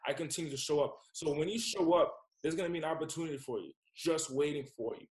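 A man's voice: fairly high pitch, quick pace, darkish tone, roomy sound. A man in his twenties, a guest entrepreneur, talking in a casual, emphatic, motivational way. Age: 20s. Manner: emphatic, motivational, repeats himself. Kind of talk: casual interview chat.

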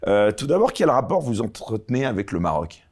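A man's voice: fairly low pitch, sing-song, fairly quick, a little mellow. A man hosting an interview show, speaking formally and hesitantly with frequent fillers. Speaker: talk show host.

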